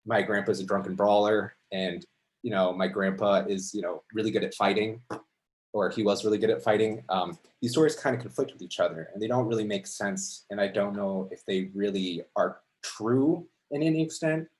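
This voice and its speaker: A man telling an unscripted personal story to an audience in a halting, conversational, reflective way. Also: on the low side. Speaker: amateur storyteller at a story slam.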